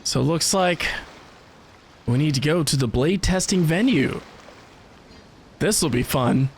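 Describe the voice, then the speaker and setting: Animated, unhurried male gamer commentary. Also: on the high side, slight background noise. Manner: informal, reactive. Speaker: gaming streamer. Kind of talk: casual game reaction commentary.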